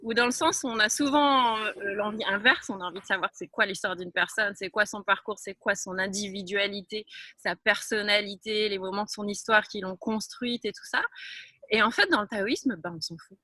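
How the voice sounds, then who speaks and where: A woman's voice: mid-range voice, moderate pace, sharp tone, no room sound. A woman from France, a Taoist teacher, talking frankly and emphatically as an interview guest. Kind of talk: reflective interview talk.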